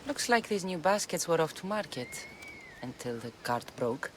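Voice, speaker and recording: Tough Greek mercenary heroine, low voice, blunt, wary, video game, loud insect ambience. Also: female voice, very animated, moderate pace. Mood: musing. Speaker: lead game character. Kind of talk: video game cutscene acting. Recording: noisy outdoor ambience, chirping insects.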